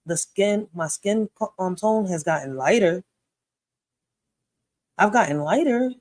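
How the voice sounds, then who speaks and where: A man in his thirties hosts a casual reaction video, speaking bluntly, swearing and thinking aloud through rhetorical questions. His voice sits a bit high. The sound is dry and close, with no room around it. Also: a little mellow, no echo. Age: 30s.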